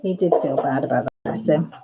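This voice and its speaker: A woman's voice: deep voice, quick pace, phone-quality sound, background noise. A middle-aged woman with a Welsh accent, hosting a casual chat and reading viewer comments aloud with giggles and playful repetition. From Wales. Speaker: channel host.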